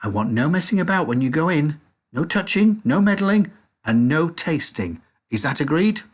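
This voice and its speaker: A man doing a shouty, excitable Willy Wonka. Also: on the high side, normal speed, pitched above the performer's own voice. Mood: stern. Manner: shouting, bossy, gleeful. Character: excitable eccentric male chocolate-factory owner.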